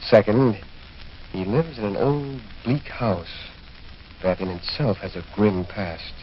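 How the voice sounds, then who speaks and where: Grave middle-aged doctor, lowish voice, deliberate and ominous, vintage radio drama with hiss. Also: male voice, animated. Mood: grave, confiding. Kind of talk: 1940s radio drama acting. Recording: hissy, muffled 1940s radio recording.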